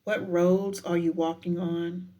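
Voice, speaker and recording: A woman guiding a meditation in a deliberate, unhurried manner with frequent pauses. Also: fairly low pitch, level tone, mellow. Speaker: professor guiding a breathing meditation. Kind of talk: guided meditation with spoken poetry.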